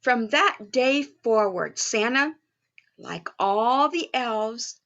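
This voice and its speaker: High-pitched woman storyteller, slow and bright. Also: expressive, muffled recording. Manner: sing-song, child-directed delivery with simple reassurance. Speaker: storyteller playing Mrs. Claus, reading a picture book. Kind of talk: children's story time read-aloud with asides.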